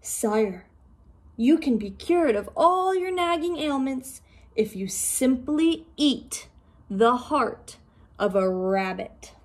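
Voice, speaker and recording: A woman voicing a helpful fish advisor in a storybook, deferential and deliberate. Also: high voice, lively intonation, full character voice. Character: helpful fish advisor solemnly offering a cure.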